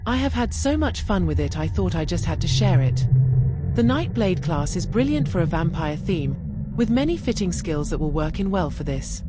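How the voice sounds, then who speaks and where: A woman narrating a scripted gaming build guide in a measured, explanatory way. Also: lowish voice, background noise. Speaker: gaming video creator and narrator. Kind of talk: game build guide voice-over. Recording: music behind the voice. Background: music.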